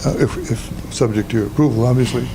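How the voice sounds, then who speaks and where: Loud-voiced man at a town meeting. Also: medium pitch. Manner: plainspoken, halting with fillers. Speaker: homeowner applicant. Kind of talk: presenting a project at a public meeting. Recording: noisy meeting room audio.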